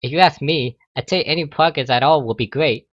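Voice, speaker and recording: Unicorn mother, voiced by a male reader: calm, cooing, reassuring, for a cartoon pony story. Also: a little high, brisk, no room sound, muffled recording. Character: doting, calm unicorn mother and school official.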